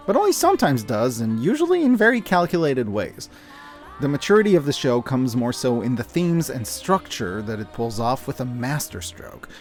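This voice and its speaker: A man narrating a scripted review with casual asides, speaking in an earnest, opinionated and emphatic way. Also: on the high side. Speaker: video essayist and media reviewer. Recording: music behind the voice. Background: music.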